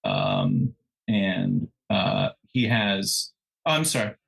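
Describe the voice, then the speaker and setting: A man co-hosting a true crime podcast, telling the story conversationally in a halting way, often backtracking to correct himself. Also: sits low, huge pitch swings, gravelly, fairly bright tone, breathy edge.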